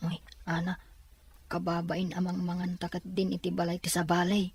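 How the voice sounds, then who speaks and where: A woman playing a cautious, quietly hurt orphaned older sibling in an audio drama, with a hesitant manner. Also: fairly low pitch, completely flat delivery, moderate pace, husky. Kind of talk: Ilocano audio drama acting.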